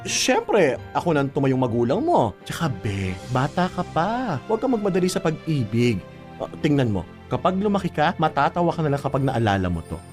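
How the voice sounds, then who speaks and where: Fiery protective big sister, very animated. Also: female voice, low-pitched, fairly quick. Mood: tender. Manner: scolding, emphatic, with frequent tag questions. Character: fiercely protective older sister, scolding, blunt and loving. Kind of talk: radio drama acting. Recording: music under the voice.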